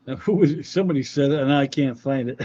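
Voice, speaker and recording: A man's voice: medium pitch, fairly quick, mellow. Dull-sounding man chatting on a livestream. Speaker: livestream host reading viewer comments. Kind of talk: casual livestream chat. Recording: dull, slightly muffled audio.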